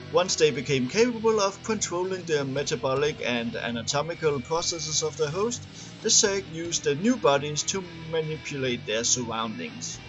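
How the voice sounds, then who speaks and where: A man's voice: fairly high pitch, muffled recording. A man reading game lore aloud as an amateur narrator, stumbling now and then and repeating words. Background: music.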